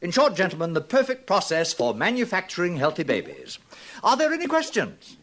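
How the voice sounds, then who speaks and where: A man playing a brisk, self-satisfied hatchery director, lecturing pompously in a 1950s radio drama. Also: high-pitched, huge pitch swings, a bit bright, full character voice. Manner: pompous, lecturing, hesitating with small uhs. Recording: noisy vintage radio recording with clicks.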